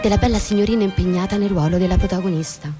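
An actress playing an ironic suburban housewife who narrates a literary stage drama in an expressive, theatrical way. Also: female voice, medium pitch, rapid-fire, lightly acted. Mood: coy, observant. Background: music with violin.

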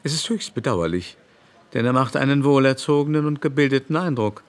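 Haughty middle-aged baron played by a male actor, mannered and scornful, radio-play delivery, mid-pitched. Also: fairly quick, natural voice. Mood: scornful, indignant. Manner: pompous, mannered, declamatory. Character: haughty aristocratic baron, affected, brusque and venomously bigoted. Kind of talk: literary radio drama acting.

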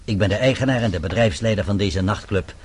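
Nightclub owner, lowish husky voice, guarded, defensive, formal, radio drama. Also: male voice, quick pace. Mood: guarded, indignant. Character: guarded, smooth-talking male Parisian nightclub owner. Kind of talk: radio play acting.

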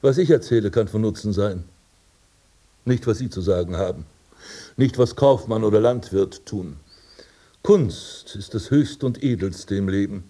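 Haughty prince, mellow voice. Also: male voice, on the low side, unhurried. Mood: conceited. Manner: pompous, disdainful, formal. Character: haughty, vain aristocratic prince recounting his dream. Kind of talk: German radio play acting.

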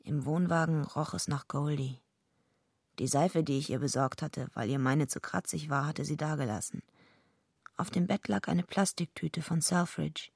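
Radio drama lead: a tough, streetwise female fighter, low gravelly voice, blunt and laconic. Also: moderate pace, darkish tone, no echo, studio quality, heavily acted. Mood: dry, brooding. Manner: blunt, terse, laconic first-person telling. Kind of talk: radio drama acting with narration.

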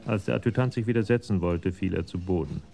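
An actor as the sober, detached storyteller narrating a scripted radio crime drama. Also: male voice, sits low, fast, creaky, warm tone, soft background music.

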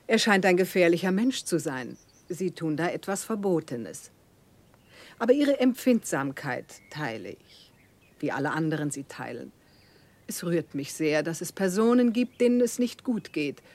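Radio play role: imperious, pleasure-seeking queen, lowish voice, affected and condescending stage diction. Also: big pitch swings, normal speed, a little mellow. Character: haughty, capricious, pleasure-seeking queen of France.

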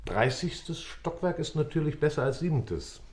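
An actor playing a dry, probing interrogator in a radio play. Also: male voice, fairly high pitch, very animated, normal speed, darkish tone.

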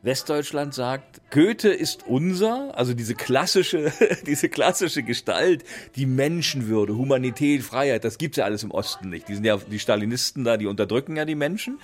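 An older man from East Germany explaining in animated, casual conversation, slipping into emphatic, theatrical quotation. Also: mid-range voice, moderate pace. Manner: theatrical, quotes verses with emphasis. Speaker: interviewee.

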